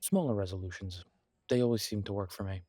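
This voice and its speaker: A man playing a friendly, reassuring homebody best friend in an intimate one-sided roleplay audio, talking casually in halting, gently encouraging phrases. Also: fairly low pitch, no room sound, close to an everyday voice. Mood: gentle, reassuring.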